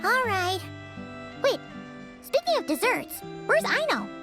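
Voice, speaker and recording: A woman's voice: extremely high pitch, big pitch swings, slow, full character voice. A woman voicing a tiny, curious, childlike fairy companion in a video game, with a chirpy, exclaiming manner. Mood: excited. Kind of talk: scripted video game dialogue. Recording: music behind the voice.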